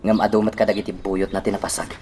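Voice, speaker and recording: A man's voice: lowish voice, flat delivery, fast talker, a little mellow, slight background noise, natural voice. A man acting a determined fighter facing enemies in an Ilocano radio drama.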